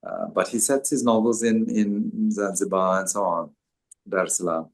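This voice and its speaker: An older man with a lowish voice talks his way through answers, circling back with "um" and restarts as he gathers his thoughts. He speaks as an author chatting to an audience, unhurried and conversational. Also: fairly even intonation, gravelly. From Sri Lanka. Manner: hesitant, many fillers and restarts.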